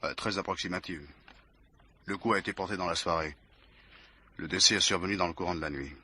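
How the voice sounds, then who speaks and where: An actor playing a dutiful, matter-of-fact police inspector in a French radio mystery, reporting in clipped, factual phrases. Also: male voice, low-pitched, fairly quick, sharp tone, slightly rough, heavily acted. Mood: businesslike.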